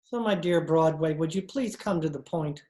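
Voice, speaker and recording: An actor playing a cultured, nervous judge and pool hustler, speaking in a fussy, theatrical old-time radio style. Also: male voice, a little high, moderate pace, mellow, close to an everyday voice. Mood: wary, curious. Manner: pompous, fussy, theatrical speech. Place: indoor room, recorded over video call. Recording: roomy, echoing video-call recording.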